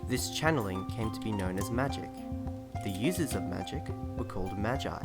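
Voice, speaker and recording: A man's voice: lowish voice, expressive, normal speed. A man narrating scripted fantasy game lore as a solemn teller of ancient history. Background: music.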